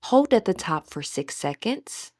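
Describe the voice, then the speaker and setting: A woman with a breathy voice gently leading a guided meditation as an instructor, in measured, scripted phrases. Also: fairly low pitch, very animated, no echo, studio quality.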